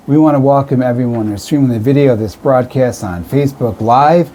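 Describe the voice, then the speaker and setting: A man's voice: mid-range voice, fairly quick, warm tone, a little hiss or hum. An American man hosting a radio show, presenting segments and doing interviews.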